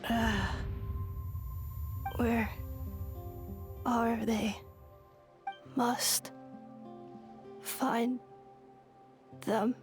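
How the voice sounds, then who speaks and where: A damaged female android from a video game, blank and halting. Her high voice comes out extremely slowly in broken fragments, over faint music. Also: fairly even intonation. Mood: faltering. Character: damaged female humanoid machine, blank and faltering.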